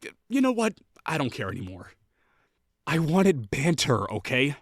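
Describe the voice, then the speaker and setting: A man's voice: a little high, huge pitch swings, dry recording, clean studio sound, exaggerated voice. A young man playing a haughty, sarcastic college rival who is secretly lonely, in a scripted audio roleplay. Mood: exasperated. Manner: sarcastic, scornful, quick to bristle.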